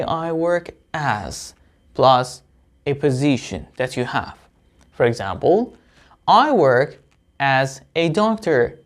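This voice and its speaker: A man giving an English lesson to viewers, explaining deliberately as a teacher with example phrases. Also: a little high.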